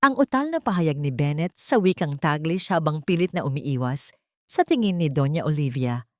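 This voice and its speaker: A woman reading a scripted dramatic story as narrator, in a measured, solemn storytelling manner. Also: low-pitched, expressive, no echo. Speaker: narrator of love stories.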